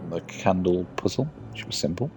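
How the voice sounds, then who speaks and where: A man live-streaming a game, reading the text aloud haltingly and muttering casual asides as he plays. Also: deep voice, animated. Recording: music behind the voice.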